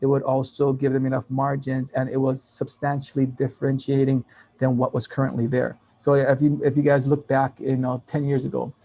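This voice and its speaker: Husky fifty-year-old man telling stories. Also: mid-range voice, fairly quick, rough recording. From Taiwan and Hawaii. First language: Taiwanese. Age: 50s. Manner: animated storytelling with frequent restarts and laughs. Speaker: guest, business owner. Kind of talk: casual interview talk.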